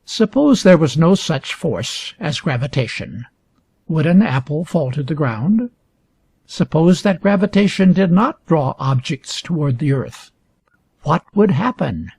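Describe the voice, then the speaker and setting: A man reading a scripted educational story aloud for English learners with deliberate, emphatic phrasing. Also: fairly high pitch, sing-song, vocal fry, darkish tone, breathy edge, no room sound. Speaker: reader.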